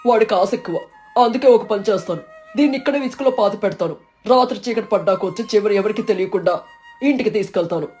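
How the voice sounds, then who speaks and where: A woman plays a humble fisherman in a cartoon folk tale. The voice is mellow, cautious and confiding, with music underneath. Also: mid-range voice, fast, close to an everyday voice. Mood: cautious hope. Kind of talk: animated moral story acting.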